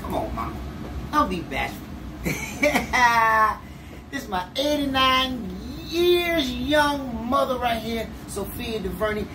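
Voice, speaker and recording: A middle-aged man with a drawl cooks at home and chats as he goes, playful and quick to tease. He sounds set back from the camera in a roomy kitchen, with heavy noise underneath. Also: very high-pitched, sing-song. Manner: playful, teasing, laughs often. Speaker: chef and cooking show host. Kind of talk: casual cooking demonstration. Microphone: far camera. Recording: echoey kitchen, distant mic, loud background noise.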